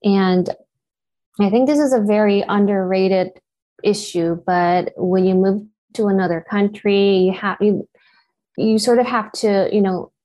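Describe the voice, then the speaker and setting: A woman's voice: mid-range voice, measured pace, mellow. A woman in her thirties from Japan, with Japanese as her first language, answering interview questions thoughtfully as an actor, with frequent "you know" fillers. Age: 30s. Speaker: actor and guest.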